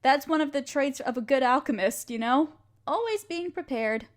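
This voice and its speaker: A woman playing a kind, patient alchemist who gently teaches and reassures an apprentice in an intimate audio roleplay. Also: high voice, expressive, moderate pace. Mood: warm, friendly. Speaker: voice actor in a scripted audio roleplay. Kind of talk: intimate scripted roleplay, one-sided conversation with listener.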